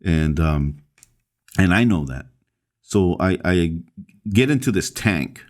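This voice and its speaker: A man from El Salvador, a pastor, recounting his story as a podcast guest in a halting way, with many fillers and restarts. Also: deep voice, expressive.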